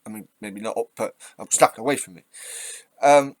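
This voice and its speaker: A man hosting a reaction video, giving off-the-cuff political commentary in a halting, sweary, ranting way. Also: on the low side, dry recording.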